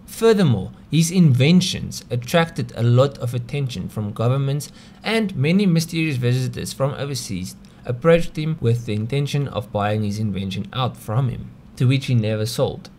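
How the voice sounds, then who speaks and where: A man's voice: medium pitch, lively intonation, moderate pace, a little mellow, slight background noise. A man with a South African accent narrating a scripted explainer video in a conversational way, often posing rhetorical questions. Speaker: video host.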